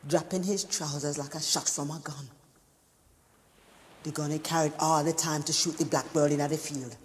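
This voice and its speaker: An actress playing a woman haunted by the loss of a newborn, speaking in a slow, halting stage monologue. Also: low-pitched, steady tone, long reverb tail, a little hiss or hum, lightly acted.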